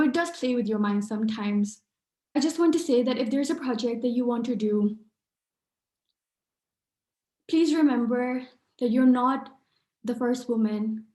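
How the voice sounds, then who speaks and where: A woman's voice: a little high, a little mellow. A young woman from Pakistan, an author speaking at a book event, earnest with many fillers and restarts. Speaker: author and artist. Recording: echoey room.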